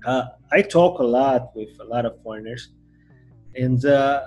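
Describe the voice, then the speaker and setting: Husky Brazilian man, animated podcast host. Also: a little slow, dull audio, faint music behind. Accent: Brazilian Portuguese. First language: Portuguese. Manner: animated, jumps up in pitch and loudness when excited. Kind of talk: casual interview chat.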